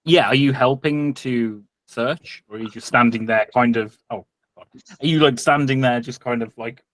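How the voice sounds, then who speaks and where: A man's voice: medium pitch, normal speed, fairly bright tone, no echo, low-quality recording. A man running a tabletop roleplay game as game master, narrating casually and often searching for his words.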